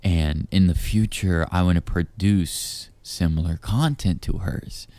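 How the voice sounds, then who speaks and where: Very deep, dark-voiced man, close and dry. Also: a little slow, no room sound. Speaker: voice actor. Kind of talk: intimate audio roleplay monologue, addressing listener as darling.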